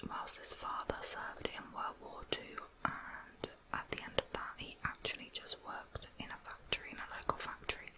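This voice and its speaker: A woman whispering a true crime account in an ASMR style, in a soft, measured, explanatory manner. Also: rough recording. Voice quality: whispered, unvoiced.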